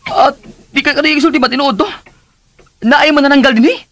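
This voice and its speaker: Bright-toned, cold young man. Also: extremely high pitch, steady tone, a little fast. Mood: alarmed. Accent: Filipino. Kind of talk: radio drama acting. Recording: music under the voice.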